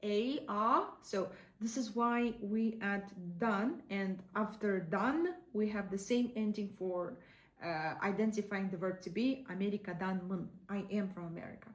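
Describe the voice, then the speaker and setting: Slow-paced Kazakh woman teaching. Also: medium pitch, reverberant. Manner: explains slowly, repeats words for practice. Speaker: language teacher. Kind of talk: online language lesson.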